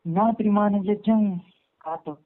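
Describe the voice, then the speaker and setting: Haryanvi guy arguing on a phone call, high voice, repeats himself, muffled narrow sound. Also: moderate pace. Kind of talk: informal domestic argument over the phone.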